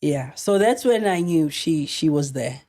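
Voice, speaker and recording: A middle-aged South African woman telling a story as a podcast guest, earnest and halting, often restarting her sentences. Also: low-pitched, lively intonation, warm tone. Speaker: actress guest.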